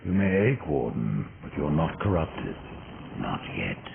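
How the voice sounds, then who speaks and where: A gravelly, very deep grim horseman. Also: big pitch swings, measured pace, background noise, full character voice. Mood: stern. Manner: dry, menacing questions. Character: grim male horseman, cold and terse. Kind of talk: game cutscene voice acting. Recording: tinny lo-fi game audio with music.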